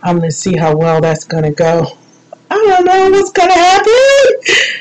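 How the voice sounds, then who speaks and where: A woman's voice: very high-pitched, a little slow, slight background noise, low-quality recording. A woman hosting an informal live show, chatting in a playful, rambling, self-deprecating way. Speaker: radio show host.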